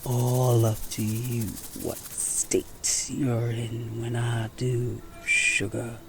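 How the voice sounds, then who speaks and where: A man's voice: medium pitch, completely flat delivery, very slow, creaky, a little hiss or hum. A man playing a menacing snake-like outlaw villain in a Western audio roleplay, with an American Southern drawl and mock-sweet taunts. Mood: smug threat. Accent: American Southern drawl, Western style.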